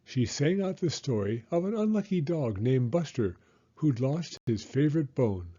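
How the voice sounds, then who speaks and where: Guy reading a picture book out loud, soft warm tone, very expressive, slightly muffled audio. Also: mid-range voice, normal speed, breathy edge. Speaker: storybook reader. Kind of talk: expressive scripted children's story narration.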